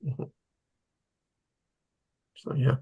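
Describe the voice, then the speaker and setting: A man chatting casually in a group, rambling and halting, with a husky, slightly gravelly voice. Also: narrow, tinny audio.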